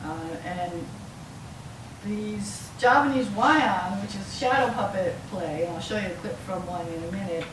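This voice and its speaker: A woman giving an explanatory academic lecture to an audience, with a halting delivery. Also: mid-range voice, lively intonation. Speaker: university lecturer. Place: lecture room, mic some way off. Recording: roomy, distant mic, clearly noisy.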